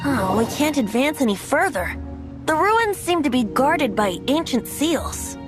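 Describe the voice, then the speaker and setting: A woman's voice: high voice, very animated, a little slow, music in the background. A woman voicing a playful, carefree young male bard in a video game, with a light, teasing lilt. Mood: explaining.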